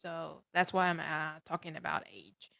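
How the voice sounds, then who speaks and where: A woman's voice: low voice, lively intonation, normal speed, gravelly, sharp tone. A woman hosting an informational interview, asking questions conversationally with loose, roundabout phrasing. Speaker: host, interviewer, education agency regional manager. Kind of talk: conversational interviewing at an education fair talk.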